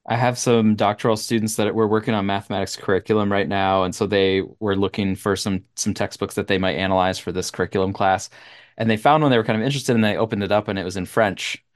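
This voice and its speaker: A man hosting an interview, talking in a friendly, relaxed way with frequent chuckles. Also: fairly low pitch, quick pace. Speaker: podcast host. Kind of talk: interview conversation.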